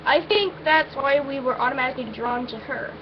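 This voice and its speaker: Woman, high-pitched voice, amateur story reader, informal delivery with occasional stumbles, noticeable background noise. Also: a little fast. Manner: informal, stumbles and breaks off with asides. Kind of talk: first-person story reading, informal. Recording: muffled, noisy amateur recording.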